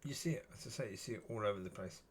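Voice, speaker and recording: A man with a husky voice, talking alone in a rambling, musing way and often saying "yeah". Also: fairly low pitch, very fast, slight background noise. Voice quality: husky, gravelly. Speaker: solo video talker. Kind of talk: rambling solo monologue.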